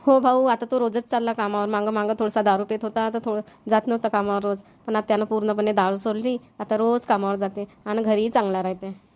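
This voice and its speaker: A woman's voice: medium pitch, level tone, fast talker, a little mellow, lightly acted. A woman playing a kindly, talkative older mother-in-law in a village cartoon, with a rural Maharashtrian Marathi accent and homely manner. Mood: chatty. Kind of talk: Marathi cartoon family comedy voice-over.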